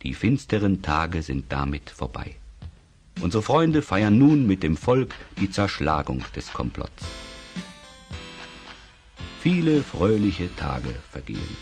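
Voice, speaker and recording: A man's voice: sits low, huge pitch swings, takes it slowly, pauses often, darkish tone, soft background music, full character voice. A man playing the solemn narrator of a children's fantasy radio play, reading scripted dramatic narration. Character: solemn storyteller of a fantasy tale.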